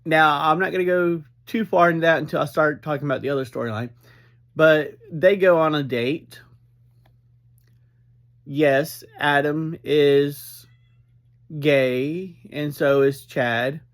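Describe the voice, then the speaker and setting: A man giving an informal, unscripted recap as a reviewer, speaking in halting, deliberate phrases with frequent breaks. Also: on the high side. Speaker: reviewer and host of an episode review series.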